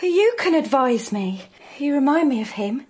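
A woman's voice: high-pitched, sing-song, normal speed, darkish tone. An actress playing a distraught, needy and resentful accused woman in a radio drama, pleading and emotional.

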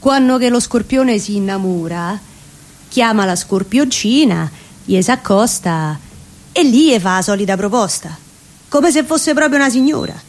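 A woman's voice: medium pitch, lively intonation, normal speed. A woman with a Roman accent giving a theatrical recitation of comic dialect verse.

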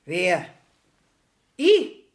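Indignant, slow-talking Bavarian village widow. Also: female voice, fairly low pitch, wildly swooping pitch, heavily acted. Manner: plaintive, rustic, emphatic. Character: indignant, long-winded village widow and midwife. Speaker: actor in a radio play. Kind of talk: 1970s dialect radio play acting.

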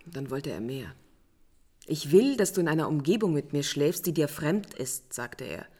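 A woman's voice: low voice, big pitch swings, normal speed, warm tone, slightly rough, exaggerated voice. An actress playing a proud, defiant married woman under suspicion in a radio crime drama, guarded and curt in manner. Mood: bitter, confessing.

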